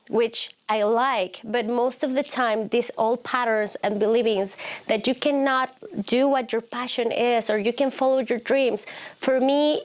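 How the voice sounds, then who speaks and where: A woman speaking English as a second language, telling a story in a warm, heartfelt conversation and searching for her words. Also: on the high side. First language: not English. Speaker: guest.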